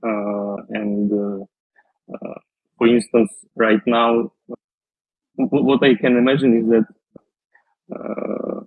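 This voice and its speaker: A man, answering interview questions slowly and carefully, with many fillers and restarts. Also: mid-range voice, little pitch movement, no room sound. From Russia. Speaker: guest, data scientist. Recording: tinny phone-like line.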